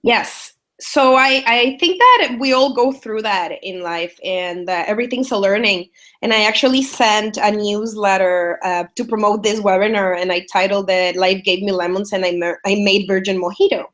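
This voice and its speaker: A woman's voice: mid-range voice, moderate pace, a bit bright. A woman in her thirties from El Salvador with a Salvadoran Spanish accent, telling her story as a webinar guest, chatty with many fillers and restarts. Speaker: guest speaker. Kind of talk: webinar interview talk.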